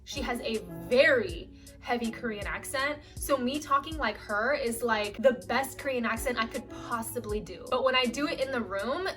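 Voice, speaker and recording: A young woman, an actress, telling stories in a chatty, animated way with many "like"s. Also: fairly high pitch, far from the mic. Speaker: actress and vlogger. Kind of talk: casual storytime chat.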